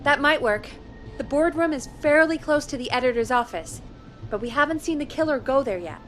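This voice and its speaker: A woman's voice: high-pitched, fairly quick, soft background music, natural voice. A woman voicing a perky, gossipy radio show producer in a video game, chatty and enthusiastic. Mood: eager, doubtful. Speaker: radio producer putting calls through.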